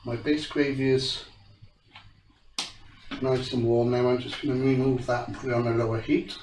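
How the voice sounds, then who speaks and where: A man's voice: mid-range voice, little pitch movement, normal speed, darkish tone, echoey room, slight background noise, rough recording. A man from South Wales with a Welsh accent, stuffy and blocked-nosed from a cold, chatting casually while cooking, his voice rising at the ends of sentences. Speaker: home cooking channel host. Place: home kitchen.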